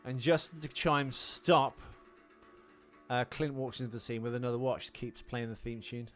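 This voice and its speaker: Guy doing casual movie podcast chat, average pitch, rambly, wanders off on tangents. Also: little pitch movement, moderate pace, soft background music. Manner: rambling, easily sidetracked storyteller. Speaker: podcast co-host. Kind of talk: casual film trivia chat.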